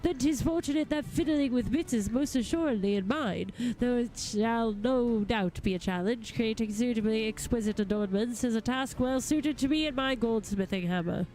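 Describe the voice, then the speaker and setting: A woman's voice: on the high side, moderate pace, hardly any pauses, darkish tone, soft background music, close to an everyday voice, low-quality recording. A woman voicing a genteel, theatrical goldsmith lord from a fantasy game, with formal, flowery and precise diction. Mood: grandiose. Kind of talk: reading fantasy game dialogue aloud.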